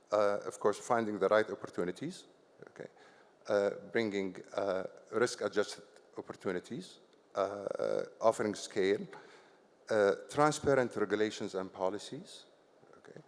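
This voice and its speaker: Man, low-pitched, hesitant, filler-heavy, conference hall echo. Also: moderate pace. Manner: hesitant, many fillers and restarts, says "okay" often. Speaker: investment company chief executive. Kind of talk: panel discussion answer.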